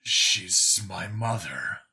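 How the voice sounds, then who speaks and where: Man as guarded, anguished young cop, forceful tone. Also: medium pitch, measured pace. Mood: grieving.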